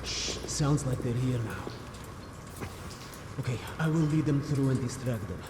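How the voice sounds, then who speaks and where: An actor playing a wary, reluctant Soviet double agent in a video game, guarded and subdued. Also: male voice, medium pitch, measured pace, close to an everyday voice. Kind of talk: dramatic video game cutscene acting. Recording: echoey space, noisy background.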